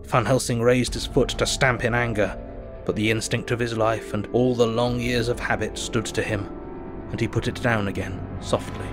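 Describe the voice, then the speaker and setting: An actor playing an earnest, anxious asylum doctor, narrating his diary in measured, literary audio drama style. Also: medium pitch, fairly even intonation, music in the background, natural voice. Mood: dread.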